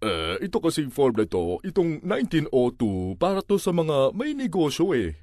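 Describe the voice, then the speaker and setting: An obtuse male office clerk in a comic monologue, put on by a man. He questions hesitantly and ploddingly, with lively pitch movement. The sound is dry and close. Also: normal speed, no echo. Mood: skeptical, plodding.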